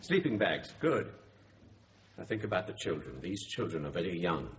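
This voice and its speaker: A calm, measured police negotiator. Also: male voice, deep voice. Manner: slow, measured, reassuring. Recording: dull radio drama recording with light hiss.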